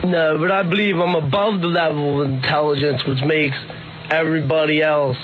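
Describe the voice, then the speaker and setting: A man with a high voice talks in a casual, halting, defensive slacker way. The sound is narrow and phone-like, with faint noise. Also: fairly even intonation. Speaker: aspiring comedian, radio guest. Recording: tinny phone-like sound, noisy radio tape.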